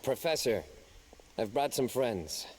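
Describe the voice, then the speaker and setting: An actor playing a composed, serious young investigator with a dry, teasing edge in a video game. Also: male voice, mid-range voice, animated, takes it slowly, darkish tone, a little hiss or hum, low-quality recording. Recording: game music clearly behind.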